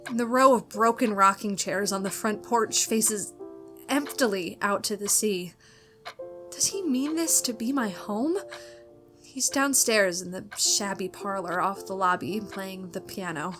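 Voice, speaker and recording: A woman acting a fragile, frightened wife in radio melodrama style, anxious and halting in her delivery. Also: mid-range voice, music in the background, close to an everyday voice. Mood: weary, dread. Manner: anxious, breathlessly dramatic, halting. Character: frightened, fragile, pleading wife in a gothic thriller.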